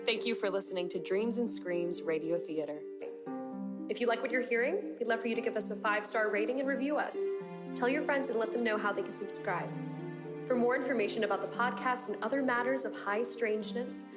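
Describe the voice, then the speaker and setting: Woman reading credits over very loud music. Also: on the high side, brisk. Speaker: announcer reading closing credits. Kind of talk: scripted podcast outro narration.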